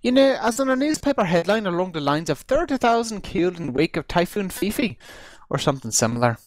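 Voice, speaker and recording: Male reader for comic first-person tale: highly varied intonation, theatrical emphasis, close dry sound. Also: very animated, brisk, no echo. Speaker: amateur reader of a comic story. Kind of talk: dramatic reading of a humorous first-person story. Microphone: close computer mic on voice chat.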